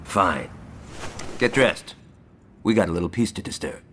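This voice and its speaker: A man's voice: low voice, expressive, unhurried, a bit bright, a little hiss or hum. An actor playing a brash, hot-headed male gangster who is cocky, sarcastic and foul-mouthed. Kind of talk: video game cutscene acting.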